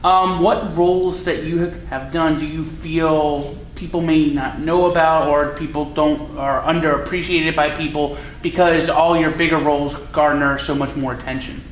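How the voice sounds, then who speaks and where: A man in the audience asks the panel a wandering, hesitant question. His voice sits a bit high for a man. A roomy echo and a faint hiss hang around him. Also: fairly even intonation, moderate pace, hardly any pauses. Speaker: audience member asking questions. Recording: echoey room, faint noise.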